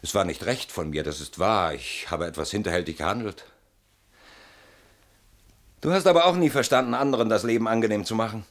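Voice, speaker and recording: A man's voice: on the low side, brisk, pauses often, close to an everyday voice. An actor playing a weary, bitter middle-aged man in a theatrical German radio drama monologue, brooding and mocking, swinging between muttering and outbursts. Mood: weary, remorseful. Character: weary, bitter man haunted by a betrayed old friend.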